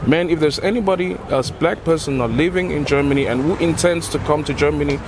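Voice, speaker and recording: A man from Cameroon, answering a street interview in an earnest, halting way that repeats and restarts words. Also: on the high side. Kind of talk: street interview, casual talk. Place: outdoors on a busy city street. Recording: busy street crowd behind. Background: crowd chatter and passing traffic.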